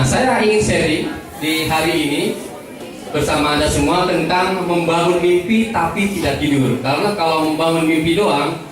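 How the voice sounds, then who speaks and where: An Indonesian man giving a motivational talk to students, joking and asking the crowd questions. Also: a little high. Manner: joking, asks the audience questions. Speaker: motivational speaker. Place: large school hall. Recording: very echoey hall, speaker far from mic. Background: students laughing and reacting.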